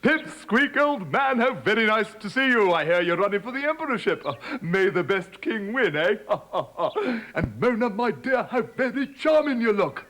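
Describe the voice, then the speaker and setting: A man's voice: high-pitched, sing-song, normal speed, fairly bright tone, pitched above the performer's own voice. A man voicing a pompous, hearty middle-aged king who talks in a showy way.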